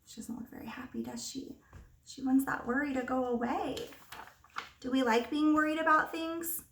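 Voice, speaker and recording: Woman, high voice, kindergarten teacher read-aloud, expressive, questioning, distant mic. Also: slight background noise. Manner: expressive, pauses to ask simple questions. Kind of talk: picture book read-aloud with questions to young children. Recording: roomy echo, distant microphone.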